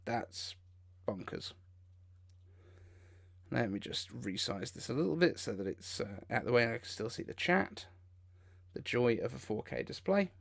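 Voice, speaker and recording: A man's voice: medium pitch, sing-song, unhurried, vocal fry. Man reacting casually on a livestream. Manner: informal, incredulous exclamations. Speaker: livestream host. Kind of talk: casual live reaction commentary.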